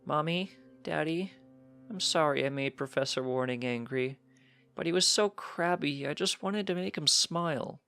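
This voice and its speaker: A man's voice: a little high, normal speed, sharp tone, clean tone, soft background music, voice pushed up. A man voicing a small, precocious winged-unicorn colt in a storybook reading, cheerful, earnest and childlike.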